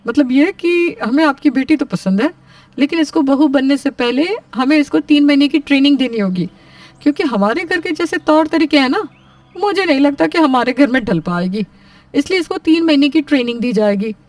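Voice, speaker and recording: A woman's voice: high-pitched, expressive, moderate pace, no echo, recorded from a distance. A woman playing a stern, demanding older mother-in-law in story dialogue, formal and firm.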